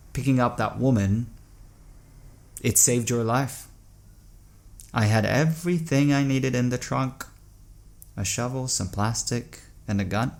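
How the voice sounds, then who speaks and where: A troubled man in an audio drama, confessing dark thoughts. He speaks slowly and haltingly, with a low voice full of gravelly fry. He sounds brooding and haunted.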